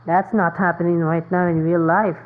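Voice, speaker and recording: A woman gives casual gameplay commentary, reacting and reading along. Her voice is on the low side. The microphone sounds thin and phone-like. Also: little pitch movement, moderate pace.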